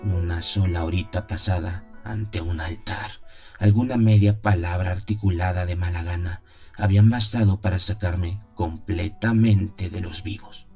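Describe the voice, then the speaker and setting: A man's voice: low voice, steady tone, normal speed, warm tone, muffled recording, soft background music. A man playing an anguished, lovesick young seminarian who solemnly narrates his torment in a radio play. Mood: bitter despair. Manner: solemn, brooding, theatrical.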